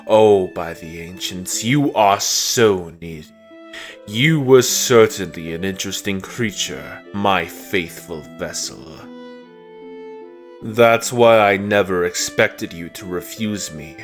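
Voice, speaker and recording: Fallen deity, male actor: menacing, contemptuous, very unhurried delivery, deep-set voice, comic-dub drama over music. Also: expressive. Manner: slow, brooding, contemptuous monologue. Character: bitter fallen male god, menacing, imperious, brooding in mortal body. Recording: music under the voice.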